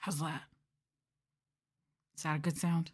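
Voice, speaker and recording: Low-pitched woman streamer, playful and hesitant. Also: flat delivery, unhurried. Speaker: VTuber streamer. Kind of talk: casual ASMR livestream chat.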